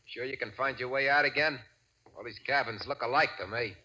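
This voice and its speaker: A man playing a greedy, suspicious gunman in a 1940s radio drama, with gruff, pushy, clipped talk. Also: medium pitch, brisk, bright, forceful tone, dull audio. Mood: suspicious, impatient. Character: greedy, suspicious, threatening male crook with a gun.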